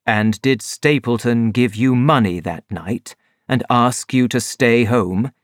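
A reader voicing a composed male detective who explains and gives orders in measured tones. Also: medium pitch, dry recording, studio quality, close to an everyday voice. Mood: calm. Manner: calm, measured, authoritative. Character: calm, commanding male detective.

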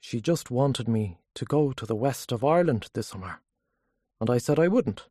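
Weary, defensive educated husband for an audiobook, played by a narrator: hesitant, guarded delivery, husky edge. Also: medium pitch, lively intonation, normal speed, dark tone, dry recording, studio quality. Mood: cool. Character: reserved, weary, defensive educated married man.

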